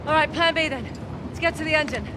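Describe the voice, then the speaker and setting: A woman's voice: sits high, quick pace, close to an everyday voice. A woman playing a gutsy, wry treasure hunter in her thirties in an action game, exclaiming under strain. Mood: wry, determined. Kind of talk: cinematic action game acting. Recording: game audio over gunfire and engine noise.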